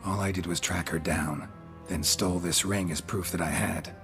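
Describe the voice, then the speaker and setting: An actor playing a stoic, laconic monster hunter in a fantasy video game, terse, blunt and deadpan. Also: male voice, low voice, moderate pace, music in the background. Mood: dry, unbothered. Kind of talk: dramatic video game voice acting.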